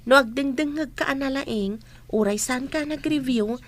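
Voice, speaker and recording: A woman's voice: sits high, normal speed. A woman playing a bullied, studious teenage schoolgirl in an audio drama, earnest and plaintive. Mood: earnest, cautious. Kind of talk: amateur audio drama acting.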